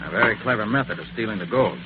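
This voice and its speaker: An actor playing a confident, sharp-witted master detective in an old radio drama, explaining things in a brisk, assured way. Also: male voice, on the low side, lively intonation, lightly acted. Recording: muffled, hissy old radio recording.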